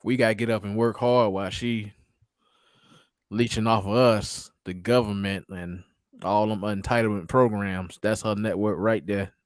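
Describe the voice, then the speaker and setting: A man with a slightly raspy voice joining a casual livestream chat, making quick mocking remarks. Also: on the low side, steady tone, dry recording, clean studio sound. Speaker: stream guest.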